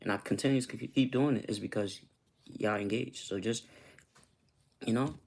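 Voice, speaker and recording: Casual YouTuber man, low and gravelly, rambling and chatty with his audience. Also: normal speed. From Costa Rica. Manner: loose, chatty, repeats "you know what I'm saying". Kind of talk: casual livestream chat with viewers.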